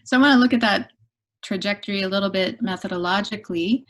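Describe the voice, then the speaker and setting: Middle-aged woman, academic lecturer on a video call; thoughtful, measured delivery with filler pauses. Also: mid-range voice. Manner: thoughtful, measured, with frequent ums. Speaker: guest lecturer.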